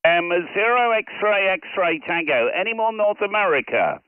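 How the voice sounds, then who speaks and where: A man's voice: high-pitched, sing-song, a little slow, narrow, tinny audio, slight background noise. A middle-aged man operating a ham radio station from a home shack, calling out call signs on a headset and chatting casually. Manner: calls out phonetic call signs loudly, then chats to himself. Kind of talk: live radio contacts and casual commentary.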